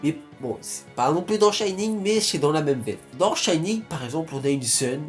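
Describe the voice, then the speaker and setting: A man's voice: fairly high pitch, lively intonation, moderate pace, soft background music. A young man from France talking casually to his viewers, rambling with many restarts and fillers. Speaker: YouTuber. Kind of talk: casual vlog talk.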